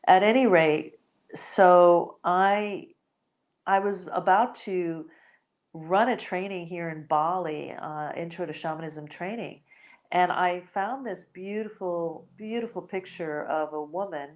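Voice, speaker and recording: A woman with a notably low voice talks unscripted into a close microphone. She drifts from thought to thought, pausing on fillers and starting sentences over, in the easy tone of a spiritual podcast host. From United States. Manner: reflective, meandering with many fillers and restarts. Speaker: podcast host, shamanic healer. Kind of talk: spontaneous spiritual podcast talk.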